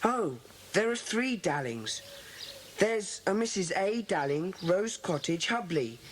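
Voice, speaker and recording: A woman playing a bossy, earnest schoolboy who leads a children's club, brisk and commanding in an audiobook dramatisation. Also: lowish voice, lively intonation. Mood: earnest, decisive. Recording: hissy old cassette dramatisation.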